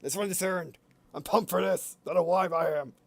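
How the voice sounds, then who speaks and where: A man giving casual gameplay commentary, halting and often stumbling over and repeating his phrases. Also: high-pitched, vocal fry, no room sound, faint music behind. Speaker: gaming video host. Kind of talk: casual gameplay commentary with read-aloud dialogue.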